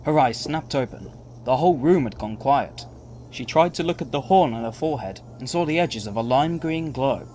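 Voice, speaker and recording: A man's voice: medium pitch, lively intonation, normal speed, a little mellow, slight background noise. A man reading scripted story narration as the narrator of an audio drama.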